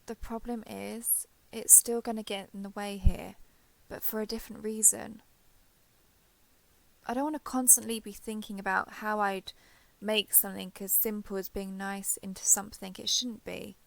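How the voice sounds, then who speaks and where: A woman playing a shy, guarded former villain turned ally in an intimate romance audio roleplay, halting, defensive and awkwardly sincere. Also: medium pitch, creaky. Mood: sincere, nervous.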